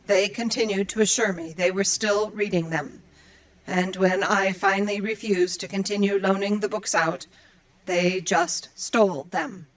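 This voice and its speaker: A woman's voice: medium pitch, steady tone, unhurried, natural voice. A woman playing a dutiful, earnest android librarian in an audio drama, speaking in formal, precise, procedural phrases. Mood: matter-of-fact. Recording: music behind the voice. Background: music.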